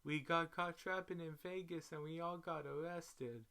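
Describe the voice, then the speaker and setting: A man's voice: fairly high pitch, fairly even intonation, moderate pace, creaky, sharp tone, pitched above the performer's own voice. A man voicing a needy young woman in trouble, pleading, in a dramatic story reading. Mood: urgent.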